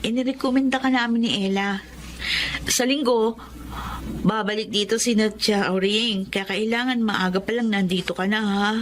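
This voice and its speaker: A woman's voice: mid-range voice, fairly even intonation, normal speed, a little hiss or hum, lightly acted. An actress as an earnest, grateful young woman. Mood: hopeful. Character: grateful, earnest poor young orphaned woman telling her story. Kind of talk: Filipino radio drama acting and narration.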